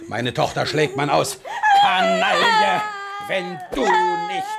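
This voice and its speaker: An actor playing a raging, brutal Prussian king who shouts and mocks his wife, in a 1970s radio play with voices behind. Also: high voice, wildly swooping pitch, slow, gravelly, heavy background noise, full character voice. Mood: furious. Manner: bellowing, mocking, shouting insults.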